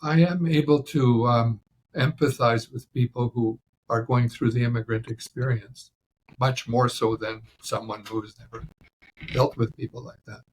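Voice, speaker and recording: A middle-aged Canadian-born man with a slight Ukrainian accent, answering interview questions in a measured way, often pausing with fillers. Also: medium pitch, steady tone, slight background noise, rough recording. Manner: measured, explains by analogy with frequent fillers. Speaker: guest, writer and journalist.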